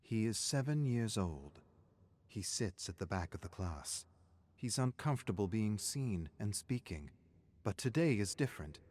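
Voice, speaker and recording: A man reading dramatic scripted narration of a dark story in a measured, grave manner. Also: on the low side, very animated.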